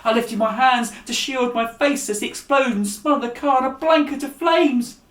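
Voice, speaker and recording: A man's voice: high voice, a bit bright. Man storytelling in an echoey room. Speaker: author and storyteller. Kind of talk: story reading aloud for young listeners.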